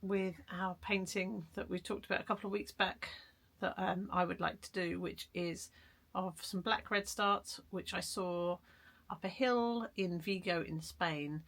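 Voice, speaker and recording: A woman vlogging from her shed, her voice hoarse and croaky from a cold. She talks in a chatty, rambling, self-deprecating way, with a gravelly rasp at the bottom of her voice. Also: on the low side, steady tone, measured pace, few pauses, fairly bright tone. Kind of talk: casual art vlog chat. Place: garden shed studio.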